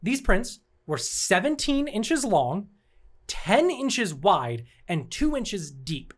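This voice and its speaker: A man's voice: sits high, very animated, slow. A man telling a cryptid story on a casual comedy podcast, teasing his co-hosts and laughing often.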